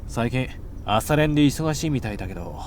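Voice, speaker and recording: A man playing a brash, sporty teenage schoolboy in an anime-style voice drama, talking in a rough, informal way. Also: mid-range voice, lively intonation, brisk, slight background noise, natural voice. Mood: grumbling.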